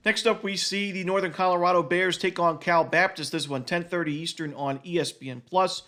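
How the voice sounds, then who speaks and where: A man with a cold, his voice going a little, giving a quick solo rundown of sports betting picks packed with stats. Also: high-pitched, fairly even intonation, bright, forceful tone, faint music behind.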